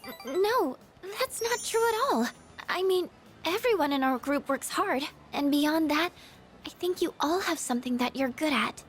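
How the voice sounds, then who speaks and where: A very high-pitched, timid teenage girl from a video game. She is gentle and hesitant, quick to apologise and doubt herself, with music softly underneath. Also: lively intonation. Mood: self-doubting. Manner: hesitant, apologetic, soft-spoken. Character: shy, self-doubting, gentle teenage girl good with machines. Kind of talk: English video game dub acting.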